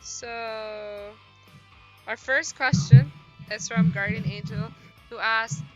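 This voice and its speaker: A woman's voice: a little high, steady tone, slow pace, lo-fi sound. A woman hosting a visual novel livestream, reading narration aloud and chatting casually. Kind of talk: narration read aloud and casual stream chat. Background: game music.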